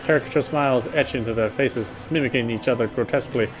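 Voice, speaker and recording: A man's voice: mid-range voice, fast talker. A man streaming a visual novel, reading its story aloud and commenting casually. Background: faint game music.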